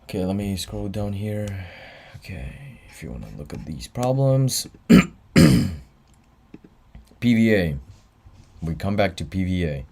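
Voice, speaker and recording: Tired young man with a deep voice. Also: vocal fry, a little mellow. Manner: tired, offhand, reads chat aloud. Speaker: student tutor. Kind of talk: livestreamed exam review.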